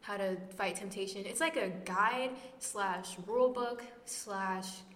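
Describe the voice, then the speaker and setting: A woman's voice: medium pitch, unhurried, a bit bright. A woman in her late teens co-hosting a casual faith podcast, rambling and sprinkling "like" through everything. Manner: rambling, heavy on "like". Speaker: podcast co-host. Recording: echoey room with long reverb, faint hiss.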